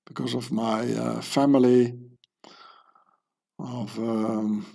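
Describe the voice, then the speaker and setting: A man's voice: mid-range voice, steady tone, takes it slowly, vocal fry, mellow. Rambling man asking rhetorical questions. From South Africa. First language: French. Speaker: video channel host. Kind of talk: casual commentary monologue.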